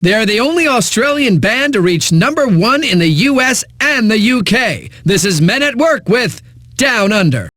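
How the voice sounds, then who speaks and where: A smug, breezy eighties music DJ for a game radio station. His voice sits high and moves around a lot. The sound is very dry and close, and the patter is hyped with a sardonic edge. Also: sing-song, normal speed, fairly bright tone, no echo, exaggerated voice. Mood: wry, breezy.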